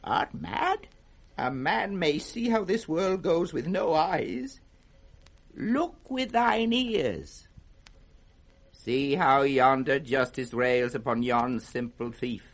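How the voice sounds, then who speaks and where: Raving old British king, slow and erratic. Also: on the high side, lively intonation, heavily acted. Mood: scornful, ranting. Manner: declamatory, erratic, swinging from roaring to hushed. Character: mad, raving old king, wounded and grandiose. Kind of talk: 1960s radio Shakespeare acting.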